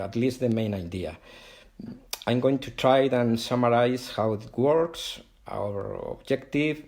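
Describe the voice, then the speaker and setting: A man's voice: mid-range voice, gravelly, a little mellow. A middle-aged man from Spain with a Spanish accent, English not his first language, giving a virtual company talk with many "uh" and "okay?" fillers and restarts. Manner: hesitant, frequent "uh" and "okay?" tags, self-correcting. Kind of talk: live online presentation.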